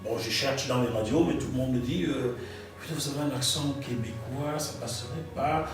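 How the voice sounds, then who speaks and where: A man's voice: mid-range voice, fairly quick. Man telling his story. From Cameroon. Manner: animated, repeats phrases for emphasis. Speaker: guest author. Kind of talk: storytelling interview. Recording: echoey room, distant mic, faint music.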